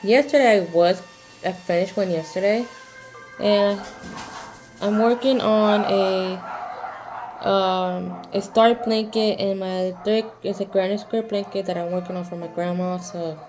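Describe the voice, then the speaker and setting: A woman in her twenties hosting a casual crochet Q&A vlog, talking in a halting, rambling way with lots of fillers and restarts. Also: medium pitch, steady tone, over music. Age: 20s. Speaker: crochet vlogger.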